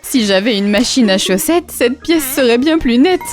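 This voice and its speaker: A woman playing a lively, determined cartoon character who speaks in expressive sing-song rhymes for children. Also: a little high, moderate pace, natural voice. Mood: busy, determined. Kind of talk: rhyming children's cartoon performance. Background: light music.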